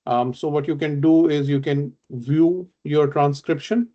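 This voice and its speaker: A man from Pakistan, a native Urdu speaker, presenting a software demo in a webinar, explaining step by step with fillers and restarts. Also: a little high, fairly even intonation, measured pace, lo-fi sound.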